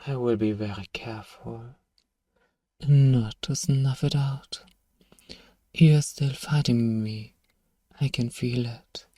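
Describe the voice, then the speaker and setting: A man acts out a powerful male vampire in an ASMR roleplay. He speaks slowly in a dark, mellow tone, suave and condescending, coaxing and commanding by turns. The voice sits a little away from the mic, with very little room sound. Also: medium pitch, steady tone, no room sound, far from the mic. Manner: theatrical, commanding, softly menacing, condescending. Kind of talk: ASMR roleplay, scripted hypnotic monologue.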